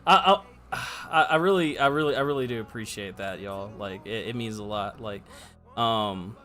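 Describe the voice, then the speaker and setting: A man's voice: fairly low pitch, normal speed, a bit bright. A man co-hosting a podcast in casual chat, appreciative and often repeating his phrases. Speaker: podcast co-host.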